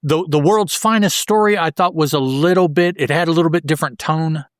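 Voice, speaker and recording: A man's slightly high voice, recorded very dry. He co-hosts a casual comics podcast, feeling out his thoughts haltingly as he goes. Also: no echo. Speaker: podcast co-host. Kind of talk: casual discussion of a comic book story.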